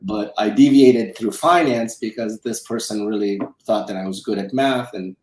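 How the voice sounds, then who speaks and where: A man's voice: lowish voice, moderate pace, darkish tone, echoey room. A middle-aged man from Romania, raised in South Dakota, telling his story conversationally as an interview guest, often repeating and rephrasing himself. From Romania, raised in South Dakota from childhood. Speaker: interview guest, film executive producer and financial advisor.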